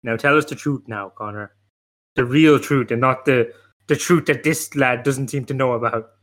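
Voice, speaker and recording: A man's voice: mid-range voice, normal speed, a bit bright, natural voice. A man acts out a police detective in a comic radio play. He is mock-stern and patronising, rambling through his interrogation in a folksy, stop-start way.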